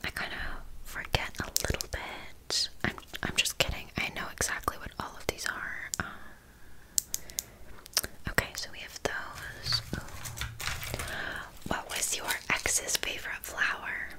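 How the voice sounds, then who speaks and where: A woman playing a scatterbrained, bluntly judgmental witch in an ASMR roleplay, rambling casually in a deadpan way. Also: unhurried, lightly acted, poor audio. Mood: distracted, making do. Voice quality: soft breathy whisper. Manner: rambling, deadpan, casual asides with filler words. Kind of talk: whispered ASMR roleplay, improvised one-sided chat.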